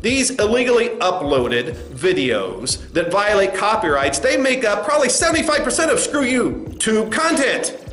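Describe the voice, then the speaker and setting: A man's voice: high voice, fairly bright tone. A man playing a smug, dismissive corporate spokesman in a comedy sketch, stammering through condescending doublespeak. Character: smug, dismissive male corporate spokesman facing angry creators. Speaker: spokesman at a press address. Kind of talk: satirical comedy sketch speech. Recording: roomy echo with faint music.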